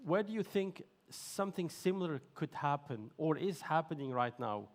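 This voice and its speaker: Male moderator, gravelly, hesitant questioner. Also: on the high side, animated, normal speed. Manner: searching, hesitant phrasing. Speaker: session moderator. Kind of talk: on-stage interview questions.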